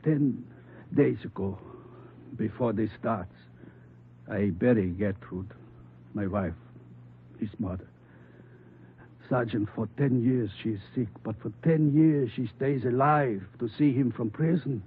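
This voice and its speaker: A bitter, grave old father speaks with a foreign accent in formal, halting phrases. It is vintage radio drama, thin and narrow in band, with some noise behind. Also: mid-range voice. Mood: bitter, ashamed. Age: older. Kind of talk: 1950s radio drama acting.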